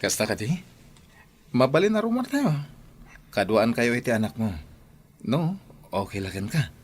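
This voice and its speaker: A man's voice: medium pitch, big pitch swings, moderate pace. A man playing an eager, hopeful suitor in an Ilocano radio drama. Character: eager, hopeful suitor confessing his love.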